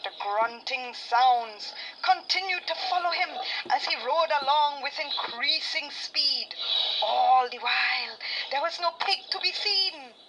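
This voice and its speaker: High-voiced, unhurried Trinidadian woman storyteller. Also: animated, bright, forceful tone. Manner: suspenseful, deliberate phrasing. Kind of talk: dramatic scripted folk-tale narration. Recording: clearly noisy background.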